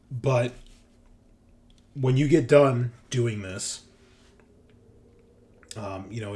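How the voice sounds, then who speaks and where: Low-voiced male game master. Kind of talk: narrating a tabletop roleplaying game, improvised. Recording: faint background noise.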